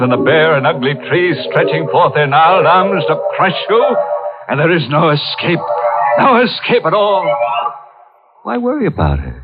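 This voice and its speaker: A man hosting a scripted 1940s radio horror show, sardonic, theatrical and mock-sinister. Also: mid-range voice. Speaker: host. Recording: tinny old radio recording. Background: music.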